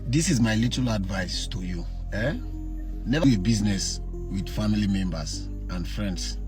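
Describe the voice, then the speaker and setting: A man's voice: fairly low pitch, normal speed, music in the background. A man giving direct business advice in a short clip. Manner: advising, direct.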